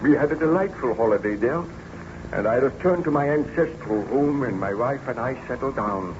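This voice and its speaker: An actor playing an anguished yet ardent baron scientist in old-time radio drama, formal and melodramatic, on an old radio recording. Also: male voice, mid-range voice, expressive, normal speed, muffled recording, background noise, close to an everyday voice. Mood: reflective.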